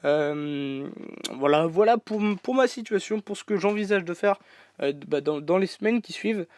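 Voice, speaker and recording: A man's voice: high voice, lively intonation, normal speed, darkish tone, dry recording. A French man with a head cold, rambling through casual gameplay commentary with many fillers and restarts. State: congested with a cold. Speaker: gaming YouTuber.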